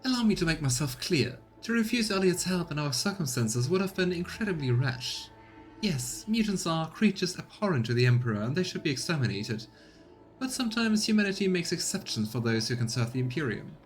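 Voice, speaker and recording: A man plays an anxious noblewoman who governs a planet in a video game, pitched well up in a theatrical voice. She is fawning yet haughty, with formal phrasing, over faint music. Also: sing-song, brisk. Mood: formal, defensive.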